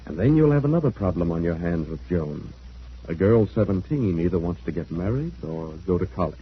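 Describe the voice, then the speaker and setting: A man's voice: low voice, very animated, fairly quick. An actor playing a worn-out, ingratiating man down on his luck in an old-time radio drama. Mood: wry, probing. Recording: muffled, noisy old radio recording.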